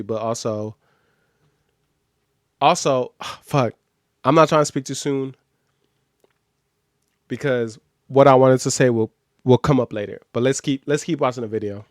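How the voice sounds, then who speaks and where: An American man talks casually as a streamer reacting to a video. His mid-pitched voice sounds close and dry, and he leans on slang, often repeating a phrase to stress it. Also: a little mellow, no room sound. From born in Nigeria, grew up in the United States.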